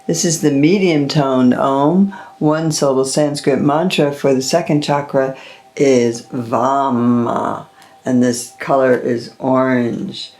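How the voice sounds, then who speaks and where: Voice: medium pitch, steady tone, darkish tone. A meditation guide leading a chakra session, drawing out the breathing cues. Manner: slow, drawn-out breathing cues.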